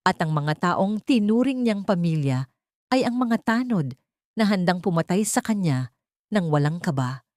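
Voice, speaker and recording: A woman's voice: lowish voice, dark tone, dry recording, clean studio sound. A woman storyteller reading a scripted romantic drama in a dramatic narrating style.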